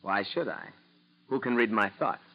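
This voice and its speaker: An actor playing an earnest, polite young Japanese-American student with an American accent, in 1940s radio drama style. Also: male voice, fairly low pitch, normal speed. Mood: guarded, earnest. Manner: respectful, earnest, questioning. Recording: dull 1940s radio recording.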